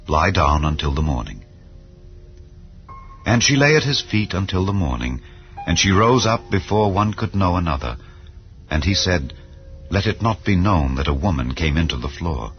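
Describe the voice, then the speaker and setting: A man with a very deep voice reads scripture in a slow, solemn, measured way. The sound is a little dull, with faint music underneath. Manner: solemn, measured reading of old-style scripture. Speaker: Bible reader. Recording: slightly dull with soft background music. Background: soft background music.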